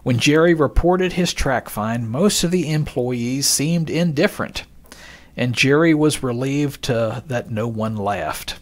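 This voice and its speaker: A man giving scripted, expository narration, reading out quoted passages in a measured manner as a podcast narrator. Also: medium pitch.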